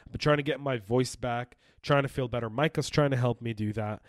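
A man hosting a privacy podcast, hoarse from being sick, talking in an emphatic, argumentative way. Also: mid-range voice, a little fast, no room sound, studio quality. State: hoarse from illness. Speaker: podcast host. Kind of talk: opinionated podcast monologue.

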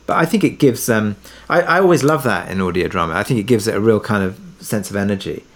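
Lowish-voiced man, hesitant interview guest. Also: lively intonation, quick pace, a bit bright. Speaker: interview guest, audio drama producer. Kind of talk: conversational interview discussion.

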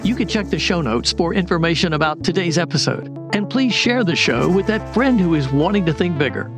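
A man's voice: on the high side, sing-song, a little fast. Upbeat man reading a podcast outro over music. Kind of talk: scripted outro.

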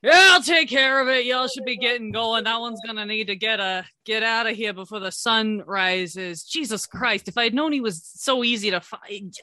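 A woman doing a gruff cursing cleric groundskeeper. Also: a little high, normal speed, few pauses, pushed and bright, no echo. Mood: gruff, exasperated. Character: gruff male cleric groundskeeper, crude and brusque.

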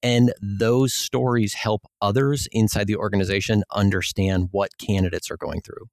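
A man's voice: lowish voice, fairly even intonation, normal speed, dry recording, studio quality. A man with a Minnesota accent, an exam executive explaining his work in an easygoing way as a podcast guest. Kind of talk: podcast interview.

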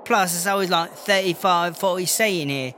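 Comedy audio drama: male actor as cocky English woman inmate, slangy blusterer, high bright voice over music. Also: normal speed, a little hiss or hum. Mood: scornful, bossy. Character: brash, foul-mouthed English female prison inmate and rapper. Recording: music under the voice.

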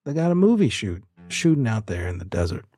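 A man voicing a world-weary, disinterested hotel desk clerk with slangy, deadpan talk in a tabletop audio drama. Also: mid-range voice, fairly quick, faint music behind, close to an everyday voice. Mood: weary, unhelpful.

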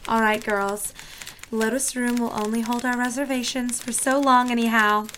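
Actress as a high-voiced, cheeky office gal. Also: female voice, steady tone, normal speed, a bit bright, a little hiss or hum, natural voice. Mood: breezy, cheeky. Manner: breezy, sassy, sentimental. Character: bubbly, cheeky young married office friend. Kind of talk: period radio drama acting.

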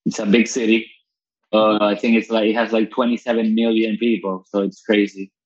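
A man's voice: fairly low pitch, little pitch movement, normal speed, slightly rough. A man from Venezuela in his thirties, a comedian guest chatting casually, swearing freely and often restarting his sentences. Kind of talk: casual podcast chat. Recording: dull lo-fi internet call audio.

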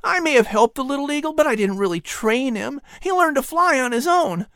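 Audiobook narrator doing a young boy, high voice, earnest and honest, storybook style. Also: animated, a little fast, fairly bright tone, no echo, clean studio sound. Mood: confessing.

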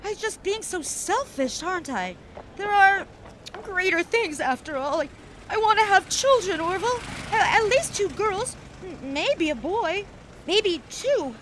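A woman playing an effervescent young upper-crust socialite of the 1920s in an audio drama, genteel, wordy and halting. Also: extremely high pitch, expressive, a bit bright, a little hiss or hum, exaggerated voice. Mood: resigned, wistful. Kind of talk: period audio drama acting.

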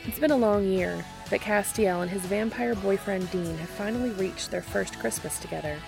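A woman hosting and narrating fan fiction in a scripted, expressive storytelling read. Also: mid-range voice, normal speed, creaky, over music, poor audio. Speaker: podcast host and reader.

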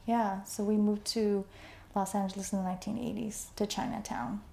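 A woman in her forties, born in Vietnam, talks through her story in a loose, conversational way. Her voice is mellow and warm, and she keeps checking in with "you know" and "right?". The room around her sounds echoey. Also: mid-range voice, little pitch movement, moderate pace, gravelly. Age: 40s. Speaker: artist guest. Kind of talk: conversational interview.

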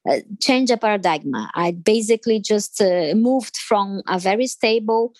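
A woman's voice: mid-range voice, normal speed, darkish tone, dry recording. Brazilian woman, hesitant, dry close sound. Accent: Brazilian Portuguese. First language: Portuguese. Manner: hesitant, many fillers and restarts. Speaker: interview guest. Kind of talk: reflective interview answers.

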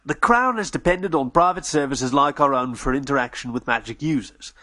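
A man voicing a proud, self-assured male werewolf gentleman who lectures smugly. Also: medium pitch, brisk, close to an everyday voice.

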